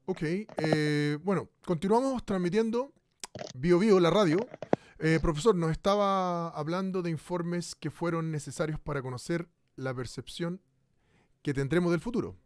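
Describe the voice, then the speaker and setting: An actor playing a Chilean radio host in a radio drama, interviewing on air in a halting way. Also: male voice, on the high side, background noise, lightly acted. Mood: businesslike, halting. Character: male radio host broadcasting live in a bleak future.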